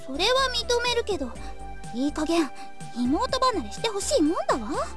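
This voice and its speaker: A woman's voice: extremely high pitch, big pitch swings, quick pace. A woman voicing a curt, impatient teenage schoolgirl in an anime visual novel. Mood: exasperated. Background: game music.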